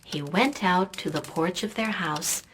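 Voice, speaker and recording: A woman narrating a fairy tale in a calm, measured storybook manner. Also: fairly low pitch, a little hiss or hum.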